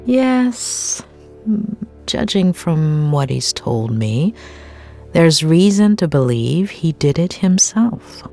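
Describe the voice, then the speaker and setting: A low-voiced woman therapist in a narrative video game. She is composed but weary, weighing things carefully and keeping her guard up. Music plays behind her. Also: very animated, takes it slowly, dark tone, heavily acted. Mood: pensive. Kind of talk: naturalistic video game cutscene acting.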